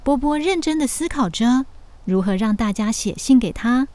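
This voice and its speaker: A woman narrating a scripted children's story in an expressive storytelling manner. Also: fairly high pitch, normal speed, no echo.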